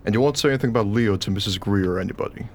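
An actor playing a young schoolteacher husband in a radio play, anxious, dutiful and a little exasperated. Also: male voice, lowish voice, rapid-fire, lightly acted. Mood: concerned. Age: young adult. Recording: faint background noise.

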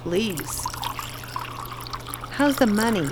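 A woman's voice: mid-range voice, animated, very slow, background noise. A woman playing a tired, earnest, exasperated wife in a radio play, passing on what her child says. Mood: weary.

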